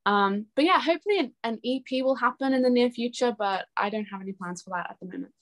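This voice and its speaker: A woman's voice: on the high side, fast, fairly bright tone. Chatty young woman in an interview. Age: young adult. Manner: chatty, earnest, laughs easily. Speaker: interview guest, singer-songwriter. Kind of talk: casual interview conversation.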